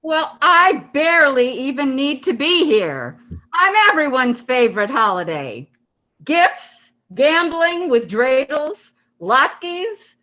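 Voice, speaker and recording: A woman's voice: sits high, big pitch swings, takes it slowly, sharp tone, heavily acted. A woman in amateur reader's theater, playing a smug, showy holiday that boasts of its popularity in a declarative way. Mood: smug boasting.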